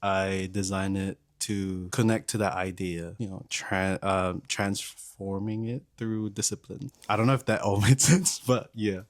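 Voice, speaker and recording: A man in his twenties, a podcast guest, talking in a relaxed and slightly hesitant way. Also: deep voice, a little slow, hardly any pauses, no echo, studio quality. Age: 20s. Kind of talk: casual chat.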